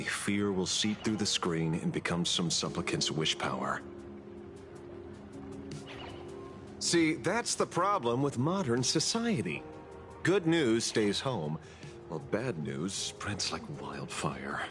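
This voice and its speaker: A roguish, worldly hired gun from a video game, low-pitched with lively swings. He teases and drawls in an easygoing, amused way, with faint music underneath. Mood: grim, wry. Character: roguish, amused, worldly male muscle-for-hire.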